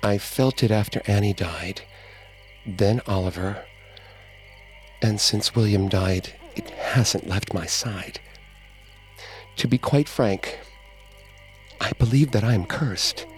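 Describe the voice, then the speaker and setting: A man voicing a grieving, frightened widow who believes she is cursed, speaking in an even, dignified way. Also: fairly low pitch, slow pace, long pauses, faint music behind. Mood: fearful.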